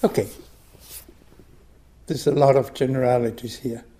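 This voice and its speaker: A man's voice: medium pitch, steady tone, normal speed, mellow. An older man from Argentina, giving a reflective meditation talk with frequent restarts. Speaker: meditation group teacher. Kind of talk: dharma talk.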